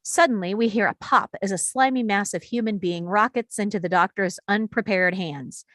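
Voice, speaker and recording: A woman's voice: mid-range voice, normal speed, a bit bright, no echo. A woman reading stage directions as scripted narration at a screenplay table read.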